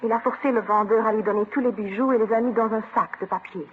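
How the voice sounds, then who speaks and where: Actress as a fast-talking French war bride. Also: female voice, on the high side, steady tone, lightly acted. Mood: anxious, eager. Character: frightened young French war bride recounting a holdup. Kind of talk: old-time radio drama acting. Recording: muffled vintage radio with clear hiss.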